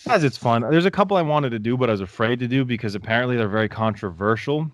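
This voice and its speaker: A man's voice: medium pitch, lively intonation, quick pace, dry recording, studio quality. A man hosting a podcast, chatting casually and joking, swearing freely. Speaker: podcast host. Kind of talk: casual profane chat.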